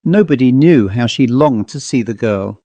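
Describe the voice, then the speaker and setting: A man's voice: mid-range voice, animated, normal speed, warm tone, no echo. A man reading a literary ghost story aloud as a scripted audiobook narrator.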